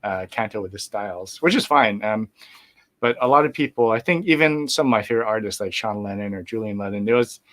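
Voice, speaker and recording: A middle-aged man as a guest musician in a casual interview, talking in a relaxed, rambling way with many fillers and restarts. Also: on the low side, fairly quick.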